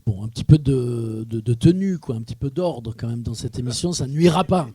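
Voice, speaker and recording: A man's voice: medium pitch, lively intonation, a little fast, dark tone, slightly rough, no echo. A man hosting a live radio show from a wine shop, joking and teasing his guests. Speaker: radio host.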